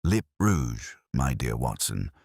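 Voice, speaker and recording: A man reading the part of a gentleman detective from a Victorian audiobook tale. His voice is very deep and dry, with expressive rises and falls. He lectures with cool, formal precision. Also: slow pace, gravelly, breathy edge, dry recording, full character voice. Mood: incisive. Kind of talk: audiobook character dialogue.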